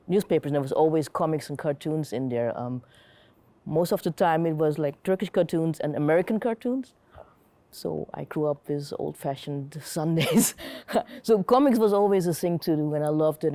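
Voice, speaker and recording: A woman's voice: deep voice, mellow. A middle-aged woman from Germany, telling personal stories in a casual, reflective interview style. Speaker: interviewee, comic artist and curator.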